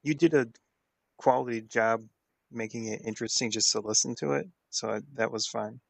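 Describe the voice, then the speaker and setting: Gravelly man in casual chat. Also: mid-range voice, fairly even intonation, moderate pace, no room sound. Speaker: tabletop game player.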